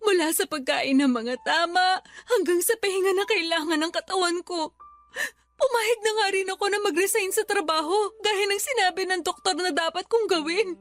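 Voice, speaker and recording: Music plays behind a very high, tearful female voice. She is a grieving wife in a radio drama, blaming herself and pleading. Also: normal speed, fairly bright tone. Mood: pleading grief. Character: Alma, grieving, self-blaming wife who lost her babies.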